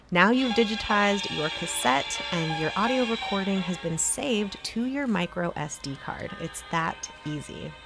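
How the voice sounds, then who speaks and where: Woman narrating a tutorial, slightly low pitch. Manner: clear step-by-step instructional delivery. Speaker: tutorial presenter. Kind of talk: scripted how-to narration.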